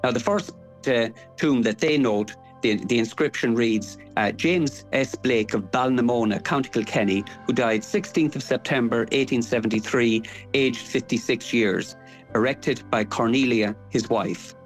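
Older Irish male local historian, mid-to-low everyday pitch, scholarly and detailed, faint music present. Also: normal speed, poor audio. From Ireland. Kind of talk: explanatory local history talk.

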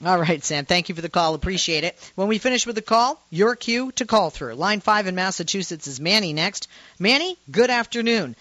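A woman with a low voice for a woman hosts a call-in talk radio show, talking in a passionate, emphatic way. Her pitch swings a lot as she speaks. The sound is dry and a little muffled, like a radio broadcast. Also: expressive, a little fast, a bit bright, no room sound. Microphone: studio microphone.

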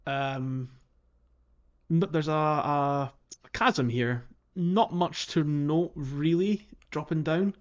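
A man's voice: on the high side, slow pace, dull audio. A man co-hosting a casual video game podcast, talking in an informal, somewhat hesitant way. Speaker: podcast host.

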